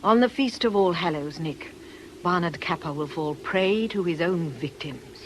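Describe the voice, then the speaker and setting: A woman's voice: lowish voice, animated, a little slow, a little hiss or hum. A woman playing an ailing, middle-aged fortune teller in a radio drama, scornful and theatrical. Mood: vengeful. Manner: world-weary, scornful, declamatory.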